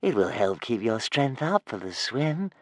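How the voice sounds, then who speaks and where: A man voicing a cheerful, motherly female dragon with an unhurried, fussing manner in a storybook reading. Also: fairly high pitch, huge pitch swings, fairly bright tone, dry recording, studio quality, voice pushed up, exaggerated voice.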